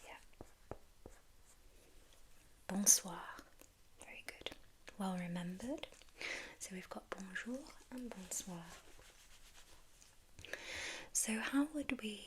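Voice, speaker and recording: A woman whispering a gentle ASMR French lesson, patient and encouraging, repeating each word slowly. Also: fairly low pitch, slight background noise, poor audio. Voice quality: soft whisper. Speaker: French tutor.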